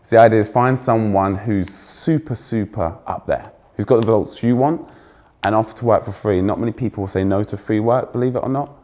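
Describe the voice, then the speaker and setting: Young English male keynote speaker, fairly posh accent, loud, energetic, teases the crowd. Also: lowish voice, a little fast. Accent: trained-out Essex, now fairly posh English. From England. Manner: energetic, joking with the audience, self-deprecating. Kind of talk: conference keynote talk. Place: stage before a live audience.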